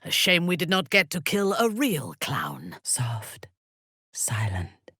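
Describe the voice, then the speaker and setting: A ruthless female warrior commander from a fantasy game, speaking low and unhurried. Her cold, commanding words swing widely in pitch, in a dry, close recording. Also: huge pitch swings, pushed and bright, husky, no echo, heavily acted. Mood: hushed. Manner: measured, commanding, cold, menacing. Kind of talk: fantasy video game voice acting.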